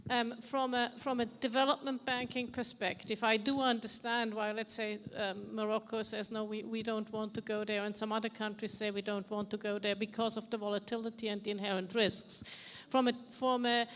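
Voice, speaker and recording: A woman's voice: on the high side, little pitch movement, moderate pace, hardly any pauses, a bit bright. A woman in the audience of a large conference hall, asking questions into a handheld mic, hesitant with many fillers.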